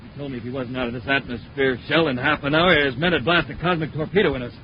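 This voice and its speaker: An actor playing a desperate, pleading space villain in a 1950s radio drama, with an urgent delivery. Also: male voice, medium pitch, fast talker, natural voice. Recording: muffled, noisy 1950s radio recording.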